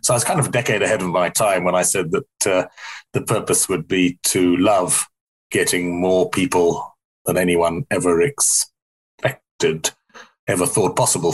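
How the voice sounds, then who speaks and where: A middle-aged man from South Africa with a softened South African-English accent, answering in an interview in a rambling, wry way that corrects itself. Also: low voice, slightly rough, low-quality recording. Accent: South African softened toward English. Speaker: interview guest, company founder.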